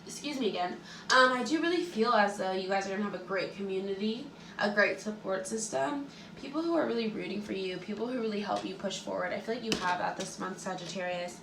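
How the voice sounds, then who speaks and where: A chatty woman lays out tarot cards for her viewers, relaxed and full of little fillers. Her nose is a bit stuffy and her throat a little sore from allergies. The sound is echoey, with faint room hum underneath. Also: mid-range voice, fast, far from the mic. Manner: chatty, relaxed, many likes and ums. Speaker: tarot reader and astrologer. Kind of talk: casual tarot reading for viewers.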